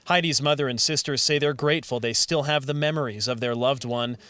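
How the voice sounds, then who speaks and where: A man's voice: medium pitch, brisk, sharp tone, clean tone, no echo. A man delivering a scripted television news report as a field reporter.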